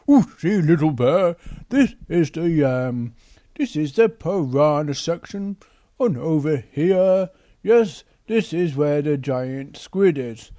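A woman's voice: low-pitched, expressive, slow, dark tone, dry recording, clean studio sound. A woman voicing a big, protective grown-up male bear in an improvised children's story, fussy and full of warnings. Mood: alarmed.

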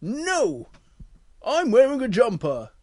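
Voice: mid-range voice, huge pitch swings, takes it slowly, a little mellow, no echo, pitched above the performer's own voice, heavily acted. A storyteller voicing a blunt, possessive but friendly male polar bear in a children's story, speaking emphatically. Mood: stubborn.

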